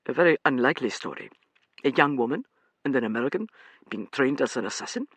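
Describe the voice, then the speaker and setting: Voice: mid-range voice, animated, quick pace, a bit bright, slightly rough, no room sound. An audiobook reader voicing a skeptical, exasperated man who speaks sharply. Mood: brusque.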